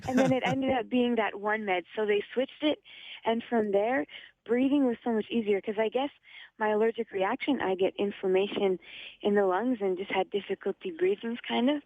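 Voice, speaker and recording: A young woman from Ottawa with a slightly raspy voice, an upbeat guest calling in to a radio show and laughing often. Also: a little high, normal speed, dry recording. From Ottawa, Canada. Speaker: phone-in guest. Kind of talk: casual radio interview. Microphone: phone line. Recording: tinny dry phone line.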